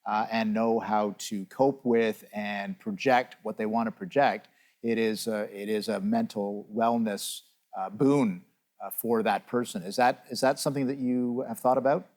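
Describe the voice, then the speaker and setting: A man hosting a TV interview, asking questions in a halting way with hesitations. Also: fairly low pitch, fairly even intonation, a little mellow. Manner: halting, many fillers and restarts when asking. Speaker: TV interview host.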